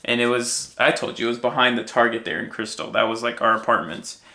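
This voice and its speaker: Bright-voiced young American man, casual storyteller. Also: medium pitch, fast talker. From United States, raised in Minnesota. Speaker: podcast guest and co-host sharing his story.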